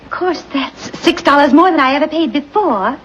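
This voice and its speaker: A woman's voice: sits high, animated, normal speed. A gushing society mother. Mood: fluttery. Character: fussy wealthy society mother, fluttery and gushing. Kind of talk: classic film acting. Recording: dull old film soundtrack with faint hiss.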